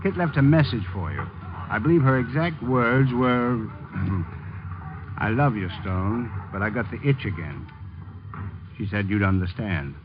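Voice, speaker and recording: A man's voice: lowish voice, expressive, a little slow. A man acting in a 1950s radio drama as a mild-mannered, rueful romantic rival, understated and a little hesitant. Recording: muffled, noisy 1950s radio recording.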